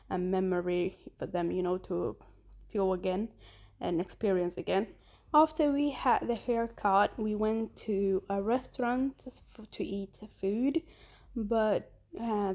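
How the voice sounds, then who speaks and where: A woman's voice: medium pitch, dark tone. A woman whose first language is Somali, in her thirties, chatting casually through her travel vlog with many fillers and restarts. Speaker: vlogger.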